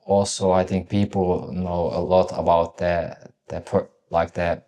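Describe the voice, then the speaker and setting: A man with a very deep, level voice asks long, loosely built questions, filling them with "like" and restarting his sentences. His talk is casual and unpolished. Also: level tone, a little slow, warm tone. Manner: rambling, heavy use of "like" and restarts. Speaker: podcast host, interviewer.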